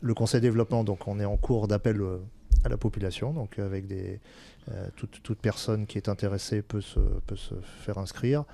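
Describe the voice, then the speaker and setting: A man's voice: on the low side, fairly even intonation, a little fast, gravelly, dark tone. A man giving explanations as an interview guest, candid and often searching for his words. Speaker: senior local-government administrator, interview guest.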